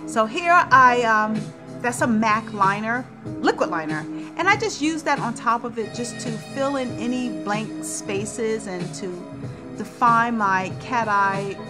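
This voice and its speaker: A woman's voice: a little high, animated, unhurried. A woman in her thirties giving a casual, chatty, informal makeup tutorial as a beauty vlogger. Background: radio music playing in the background.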